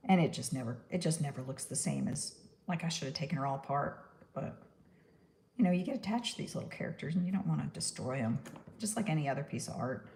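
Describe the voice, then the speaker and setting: A woman's voice: low voice, expressive, fast talker, creaky, a little mellow, slight background noise. A woman from New York with a Texan accent, chatting casually through a crafting livestream, rambling and laughing at her own puns. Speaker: stop-motion puppet maker.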